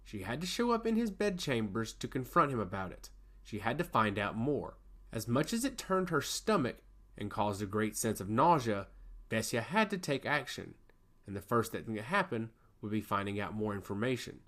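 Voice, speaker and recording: A man's voice: mid-range voice, sing-song, moderate pace, fairly bright tone. A man narrating a scripted fantasy story in a dramatic, emphatic way.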